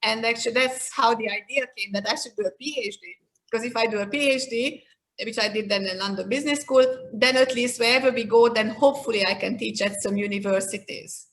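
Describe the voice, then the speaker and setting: A woman's voice: on the high side, a little fast, fairly bright tone, slight background noise, rough recording. A woman in her fifties from Hungary with a Hungarian accent, answering interview questions in a reflective, conversational way. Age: 50s. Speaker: interview guest, leadership expert.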